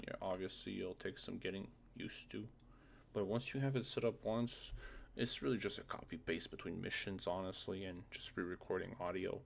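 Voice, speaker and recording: Low gravelly man giving casual tutorial. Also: a bit bright. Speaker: game modding tutorial presenter. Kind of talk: casual screen-recorded tutorial, thinking aloud. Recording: faint noise, keyboard clicks.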